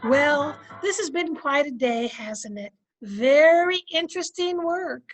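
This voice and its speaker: A woman's voice: high voice, expressive, slow pace, music in the background. A woman playing a patient, sensible, dryly teasing middle-aged wife in a recreated 1950s radio comedy. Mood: calm, interested.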